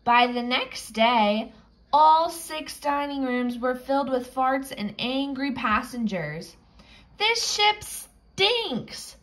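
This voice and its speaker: A woman reading a children's picture book aloud, with expressive, suspenseful storytelling. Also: high voice, slow, rough recording. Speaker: storybook reader.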